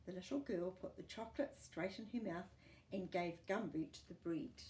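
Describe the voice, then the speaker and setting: A woman reading a picture book aloud to young children in an animated, encouraging way, with chatty asides to the listener. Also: on the low side, moderate pace, echoey room.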